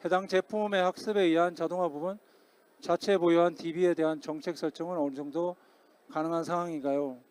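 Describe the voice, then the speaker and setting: A man speaking as a technical expert in a formal conference Q&A, explaining politely and hesitantly with frequent pauses. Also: a little high, steady tone, darkish tone, clean tone. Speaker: conference speaker, security company technical director answering questions. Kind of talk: formal Q&A after a presentation. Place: conference hall stage, amplified talk.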